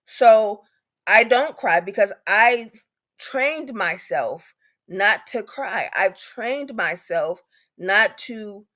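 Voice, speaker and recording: A woman's voice: medium pitch, lively intonation, drawn-out words, a bit bright. A woman in her thirties speaks in a candid panel discussion. Her delivery is emphatic, and she often repeats a phrase to drive a point home. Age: 30s. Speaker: patient advocate on a panel.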